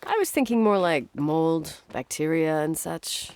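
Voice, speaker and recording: A woman's voice: deep voice, animated, a little slow, darkish tone, clear, resonant tone, no echo. An actress playing a guarded, dry-witted young woman in a naturalistic video game performance. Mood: dry, weary.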